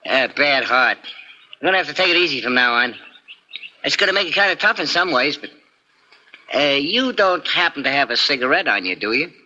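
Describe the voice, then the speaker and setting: Fast, piercing little pickpocket. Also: male voice, fairly high pitch, sing-song, bright, forceful tone, full character voice. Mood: breezy. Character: small, slight, sharp-featured, breezy male pickpocket. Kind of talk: 1940s radio acting. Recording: muffled, hissy 1940s radio recording.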